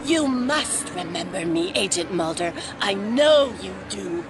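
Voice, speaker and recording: A woman's voice: medium pitch, lively intonation, unhurried, noisy background, natural voice. An actress playing an obsessive, distraught woman who feels betrayed, intense and accusing, in an audio drama. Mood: pleading insistence.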